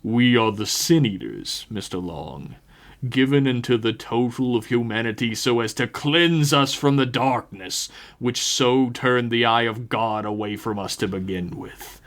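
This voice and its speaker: A man voicing a huge, calm, menacing cult reverend for a tabletop horror game, with a deep guttural voice and solemn, measured delivery. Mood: zealous preaching. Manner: slow, measured, solemn preaching.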